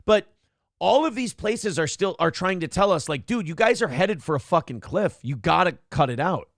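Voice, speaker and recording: Gravelly brash male talk show host. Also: sits high, expressive, moderate pace, no room sound, clean studio sound. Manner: brash, profane, reads viewer donations aloud. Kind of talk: casual political talk show banter.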